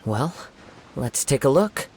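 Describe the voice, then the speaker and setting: A man playing a calm, perceptive young wandering swordsman in a video game, speaking in a measured, formal, thoughtful way. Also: mid-range voice, slight background noise. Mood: grave, analytical. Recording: music faintly behind the voice.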